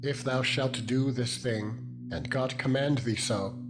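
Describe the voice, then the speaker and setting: A man reading scripture as a dramatized narration in a solemn, measured, deliberate manner. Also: medium pitch, steady tone. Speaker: Bible narrator. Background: background music with tuning-fork-like tones.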